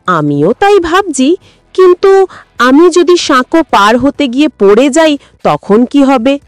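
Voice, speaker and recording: A woman's voice: high-pitched, very animated, slow pace, no room sound, soft background music, voice pushed up, exaggerated voice. A woman voicing a clever, nimble goat in a children's cartoon story, friendly, reassuring and self-assured. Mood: pondering.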